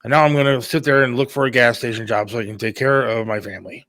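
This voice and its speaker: A man's voice: medium pitch, steady tone, quick pace, fairly bright tone, no echo, clean studio sound. A middle-aged man talking candidly and casually on a talk show, plain-spoken and a little defensive. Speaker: radio producer and talk show host.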